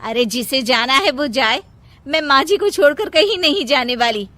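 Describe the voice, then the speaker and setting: Female performer as principled, defiant young wife and daughter-in-law, very high bright voice, storybook family drama. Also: lively intonation, moderate pace, no room sound. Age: young adult. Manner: firm, principled.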